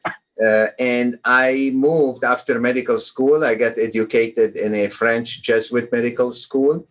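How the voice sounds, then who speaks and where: A middle-aged man chats as an interview guest over a video call. His voice sits at an ordinary, middle pitch, and he wanders through his answers with plenty of fillers and restarts. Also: fairly even intonation, unhurried. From Lebanon. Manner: rambling, with many fillers and restarts. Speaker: guest oncologist. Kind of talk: casual interview chat.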